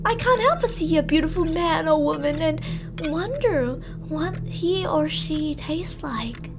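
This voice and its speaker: High-pitched unhinged cannibal woman. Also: animated, over music. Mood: chilling, contemptuous. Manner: theatrical, taunting, drawn-out delivery. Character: unhinged gloating female cannibal killer, mock-sweet and theatrical. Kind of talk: scripted ASMR roleplay monologue.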